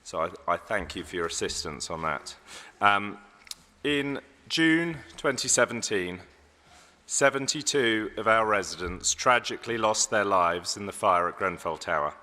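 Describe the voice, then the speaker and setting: A slightly hoarse man with a lowish but forceful, bright voice runs a council meeting in procedural, measured tones. He fills pauses with ums and restarts words. The big chamber rings with a long reverb. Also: animated. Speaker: mayor chairing a council meeting. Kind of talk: formal meeting chairing. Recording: long echo of a large hall.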